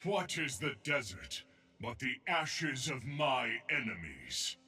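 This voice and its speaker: Give this to an imperious, god-like desert emperor from a fantasy video game. He declaims slowly and grandly, with a forceful edge and a slightly rough grain, over faint music. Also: medium pitch, very animated, full character voice. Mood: proud, resolute. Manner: regal, declamatory, solemn. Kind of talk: theatrical game voice lines.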